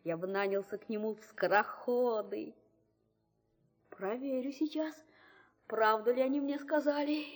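Naive, good-hearted small boy. High voice, theatrical storybook acting, thinking aloud. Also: a little slow, long pauses. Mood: dreamy, wondering. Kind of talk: audio fairy tale acting.

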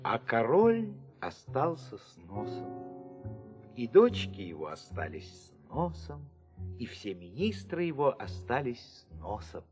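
Male fairy-tale narrator with a lively voice, music underneath. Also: medium pitch, slow pace. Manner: expressive, storytelling. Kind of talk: storybook narration. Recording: music beneath.

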